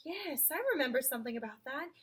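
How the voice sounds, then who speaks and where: Storybook horse, played by a woman: simple, thoughtful old workhorse, halting phrases, high bright voice. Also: lively intonation, breathy edge, full character voice. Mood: remembering. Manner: slow, musing, halting. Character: slow-witted, muddled, earnest talking male cart horse.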